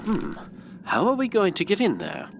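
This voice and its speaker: Animated, inquisitive young occult collector. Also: male voice, sits high, moderate pace, gravelly, background noise, heavily acted. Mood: curious, wary. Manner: eager, inquisitive, savouring grisly details. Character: curious, morbidly fascinated young male collector of occult relics. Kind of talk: audio drama dialogue.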